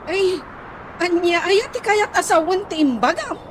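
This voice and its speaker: A woman's voice: very high-pitched, animated, measured pace, background noise. A woman with an Ilocano accent acting an anxious, hesitant village gossip in a comedy radio drama. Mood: confused.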